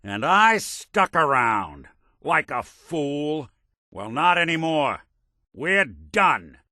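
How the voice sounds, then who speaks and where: A man's voice: on the low side, sing-song, normal speed, fairly bright tone, no room sound, clean studio sound, heavily acted. A male actor playing a world-weary, principled android detective in a video game, with a wry, folksy hardboiled way of talking and old-fashioned slang. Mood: stern, fed up. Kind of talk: video game companion dialogue, noir detective.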